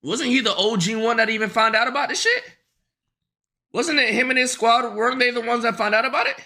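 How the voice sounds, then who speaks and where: A man streaming a reaction to a video, talking loudly and profanely in slangy banter with his chat. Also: very high-pitched, fairly quick, vocal fry. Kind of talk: casual live-stream reaction.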